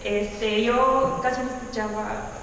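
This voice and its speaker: A woman's voice: on the high side, fairly even intonation, slow pace, fairly bright tone, far from the mic, a little hiss or hum. Chatty young Mexican woman, echoey room. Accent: Mexican Spanish with a light Yucatecan lilt. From Yucatán, Mexico. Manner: chatty, laughs often, acts out quoted friends. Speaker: podcast guest. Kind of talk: casual chat. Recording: echoey room, voice a bit off-mic.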